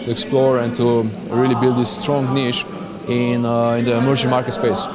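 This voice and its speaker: A man with a Slovenian accent answering interview questions as a company founder, halting as he searches for words. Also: mid-range voice, monotone, over loud music. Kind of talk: interview answers at a business conference. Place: crowded conference venue. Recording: busy event with loud crowd chatter. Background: loud crowd chatter.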